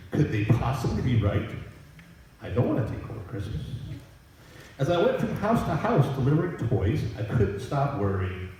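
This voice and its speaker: A man reading a Christmas story aloud as Santa Claus, in a dramatic, deliberate storybook manner. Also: on the high side, dark tone. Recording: echoey room, distant microphone.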